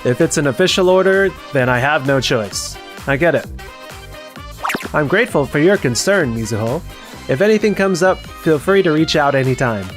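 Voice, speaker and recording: A man playing a calm, capable young factory worker in a scripted manga dub, composed and matter-of-fact. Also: a little high, moderate pace, soft background music, lightly acted.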